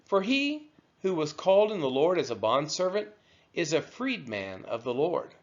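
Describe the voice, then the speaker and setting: A man reading scripture aloud from a text, with measured, emphatic phrasing. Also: on the high side, sing-song, lo-fi sound. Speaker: scripture reader.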